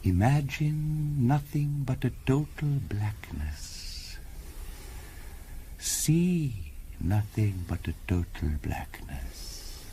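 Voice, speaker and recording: Grave, slow-spoken spiritualist medium. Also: male voice, medium pitch, animated, a little hiss or hum. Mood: hushed, hypnotic. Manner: measured, formal, insistent, sometimes hushed and hypnotic. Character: grave, earnest male spiritualist medium, formal and patient. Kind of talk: 1970s radio drama acting.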